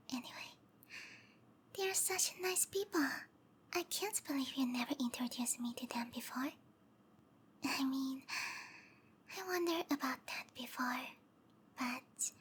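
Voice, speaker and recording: A woman voicing a sweet, obsessive snake-girl lover in a breathy, cooing whisper for an intimate ASMR roleplay. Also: high voice, slight background noise. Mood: softly menacing. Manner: cooing, tender, possessive.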